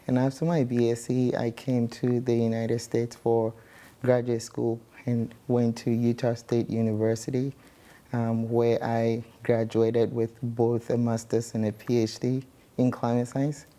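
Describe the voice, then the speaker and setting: A man from Ghana, a guest scientist explaining his research in measured speech with many pauses. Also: mid-range voice, monotone, warm tone.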